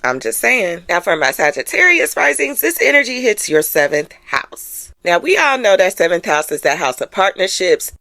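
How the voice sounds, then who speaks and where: A woman's voice: mid-range voice, sing-song, normal speed, vocal fry, few pauses, pushed and bright, dry recording. A woman giving a casual astrology forecast as host, in a sassy, playful, conversational way with slangy, emphatic phrasing.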